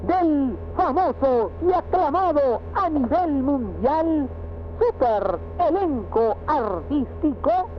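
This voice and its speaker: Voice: sits high, lively intonation, slow pace, highs cut off, a little hiss or hum. A performer playing a theatrical, mocking announcer promoting a satirical comedy radio serial with grandiose showmanship, on an old radio broadcast.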